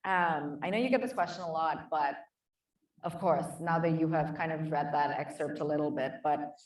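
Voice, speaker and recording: A woman's voice: low voice, normal speed, distant mic. A woman with an Indian accent, co-chairing a conference and moderating a panel, friendly with frequent ums. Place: conference hall. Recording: echoey hall, voice from off mic.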